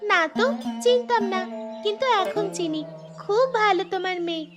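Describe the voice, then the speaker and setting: A woman's voice: very high voice, normal speed, music in the background. A woman voicing a small talking myna bird in a cartoon fairy tale, childlike and trusting. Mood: innocent, fond.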